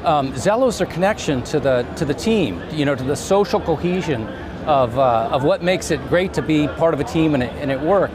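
A man in his fifties explaining things conversationally as an interview guest, in a measured, halting way with pauses. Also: fairly high pitch. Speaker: company CEO, interview guest. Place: trade show booth set, indoors. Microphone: lapel mic. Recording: clear background noise of busy trade show.

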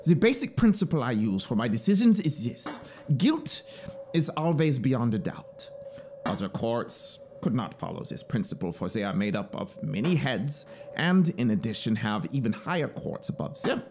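A man voicing a zealous, self-satisfied young penal colony officer in a storybook reading, with an emphatic, deliberate, proudly explanatory manner. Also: medium pitch, big pitch swings, a little mellow, a little hiss or hum. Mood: smug, emphatic.

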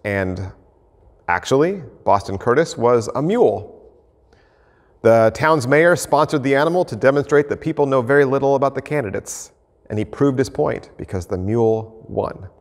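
A man in his fifties, an American pastor, preaching an earnest, measured sermon to camera from an empty church sanctuary. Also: medium pitch, animated. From United States. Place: empty church sanctuary, indoors, mid-sized. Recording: reverberant church hall.

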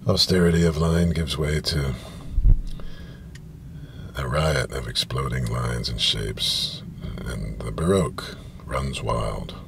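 A man in his fifties with a gravelly voice gives a halting, deliberate literary reading, pausing and repeating himself. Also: a bass voice. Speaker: reader. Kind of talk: literary reading of science fiction prose. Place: inside a parked car, small space. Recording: steady ambient noise of car interior.

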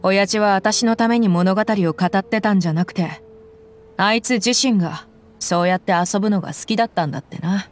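Woman doing a tough young mercenary, blunt, rough casual talk, mid pitch, faint music behind. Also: a little fast, close to an everyday voice. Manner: rough, casual, relaxed speech.

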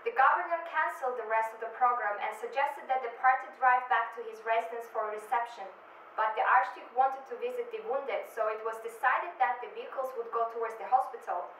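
A woman's voice: fairly high pitch, a little fast, a bit bright. A young woman giving a scripted correspondent's news report, recorded in a room from some distance. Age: young adult. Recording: roomy, distant mic, faint noise.

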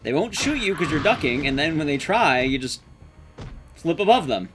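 A man's voice: fairly high pitch, sing-song, moderate pace, fairly bright tone, soft background music. A young adult man giving casual, joking, sweary live commentary as he plays a game. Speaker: game streamer, speedrunner. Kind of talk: casual live stream commentary while playing.

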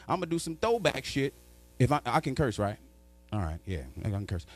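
A man in his thirties from New York doing a stand-up routine into a handheld mic in a small studio, loud and animated, shouting his punchlines. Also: medium pitch, moderate pace, faint music behind. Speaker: stand-up comedian. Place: small studio with sponsor backdrop. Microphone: handheld microphone.

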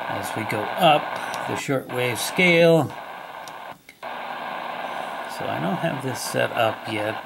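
A man giving a casual, unhurried, plain-spoken hands-on demonstration as a radio hobbyist. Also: mid-range voice, gravelly. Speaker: shortwave radio hobbyist presenter. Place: indoors at a wooden table. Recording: loud radio static and hiss. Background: shortwave radio static, tones and ticking.

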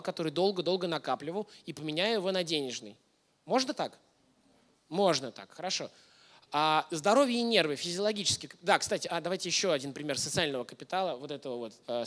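A man's voice: sits high, fairly bright tone. A man, likely in his thirties, lecturing conversationally to an audience in an informal way, often putting questions to his listeners. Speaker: lecturer giving a masterclass. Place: indoor hall, on stage before a projection screen.

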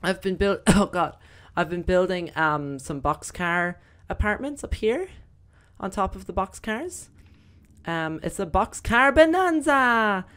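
A young woman with a slightly croaky voice, chatting casually through gameplay commentary with lots of fillers. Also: medium pitch, lively intonation, unhurried, fairly bright tone. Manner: chatty, rambling, many fillers. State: croaky, voice recovering. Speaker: gaming video host.

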